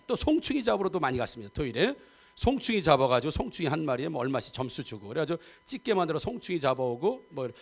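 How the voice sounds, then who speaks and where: A man in his fifties preaching a Sunday sermon, chatty and joking, often checking for agreement from the congregation. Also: a little high. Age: 50s. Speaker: pastor. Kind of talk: sermon with humor and audience asides. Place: church sanctuary.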